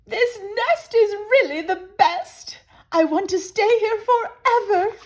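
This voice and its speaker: A woman's voice: extremely high pitch, takes it slowly, darkish tone, voice pushed up. A woman voicing a bossy, discontented female bird from a storybook, with an emphatic way of talking. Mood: pleased, eager. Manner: complaining, emphatic. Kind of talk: storybook character voice.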